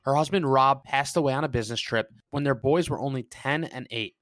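A man's voice: medium pitch, brisk, fairly bright tone, dry recording, clean studio sound. A man hosting a podcast, upbeat and enthusiastic as he introduces his guest. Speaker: podcast host.

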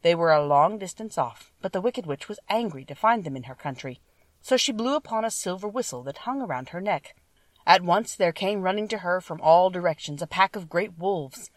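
A woman's voice: fairly low pitch, expressive, normal speed, dry recording, far from the mic. A woman reading a children's story aloud as a scripted audiobook narration.

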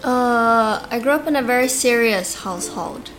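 A woman born in Hong Kong and raised in Australia, answering interview questions in a calm, thoughtful, soft-spoken way. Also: a little high, normal speed, slight background noise, poor audio. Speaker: guest artist.

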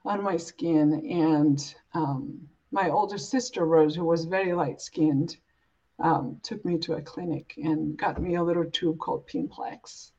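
A woman's voice: low voice, unhurried, mellow, breathy edge. A woman from Africa, speaking earnestly and emphatically as an interview guest, building points in repeated phrases. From East Africa, born and raised in Uganda. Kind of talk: reflective, earnest interview talk. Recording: dull, lo-fi audio.

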